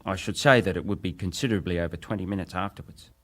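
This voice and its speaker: An actor playing a ship's wireless operator, a respectful but defensive witness giving clipped testimony in a dramatised hearing. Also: male voice, low-pitched, fast talker, fairly bright tone. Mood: respectful, matter-of-fact. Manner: clipped, respectful, matter-of-fact.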